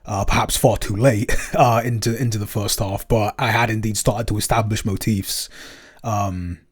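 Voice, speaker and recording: A man co-hosting a casual chat, soft-spoken with dry, understated humor. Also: fairly low pitch, steady tone, normal speed, slightly rough. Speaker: podcast co-host and composer.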